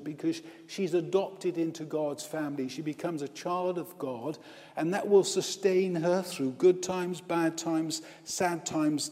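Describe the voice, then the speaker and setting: Man, priest, sermon, slightly high voice, emphatic repeated lists, reverberant church. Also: a little mellow. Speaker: priest and hospital chaplain. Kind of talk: sermon at a baptism.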